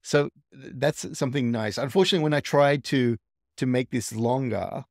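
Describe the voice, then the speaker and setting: A man's voice: medium pitch, normal speed, a little mellow, dry recording, studio quality. A man hosting a tech tutorial, casually walking through a screen recording and thinking aloud with small restarts.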